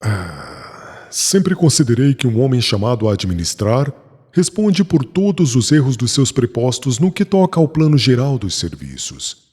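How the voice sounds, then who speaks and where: A man's voice: medium pitch, big pitch swings, unhurried, darkish tone, husky. A man voicing a remorseful young former persecutor in an audiobook, speaking solemnly and formally. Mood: stern. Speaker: voice actor in an audiobook.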